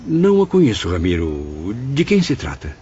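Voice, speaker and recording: A man's voice: deep voice, wildly swooping pitch, normal speed, mellow. A man playing a compassionate, awed spirit who recounts his visions in an audio drama, earnest and troubled. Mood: earnest, curious. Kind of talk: audio drama acting and narration. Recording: dull lo-fi audio, music bed.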